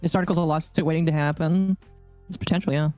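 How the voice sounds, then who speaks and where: Low-voiced woman with dry asides. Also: fairly even intonation, fast, warm tone, soft background music. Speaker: podcast co-host. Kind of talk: casual podcast chat.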